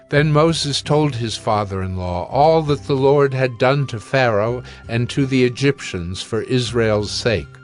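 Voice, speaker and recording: A man narrating scripture for a dramatized reading in a measured, solemn manner. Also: medium pitch.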